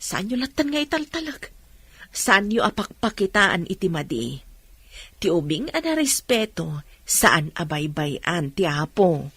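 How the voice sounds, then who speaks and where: A woman playing a concerned middle-aged mother in a radio drama, husky and gently admonishing. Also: on the low side, sing-song, measured pace, dry recording, heavily acted. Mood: concerned, chiding.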